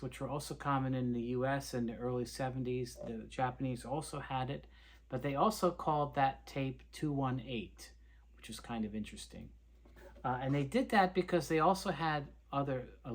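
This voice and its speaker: A man presenting an informal, unscripted product showcase, explaining things in a halting, hesitant way with repeated words. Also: medium pitch. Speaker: presenter of reel tape review videos.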